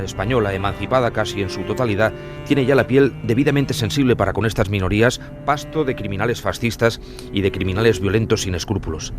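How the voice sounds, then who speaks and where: Low-pitched Spanish man narrating true crime. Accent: Spain.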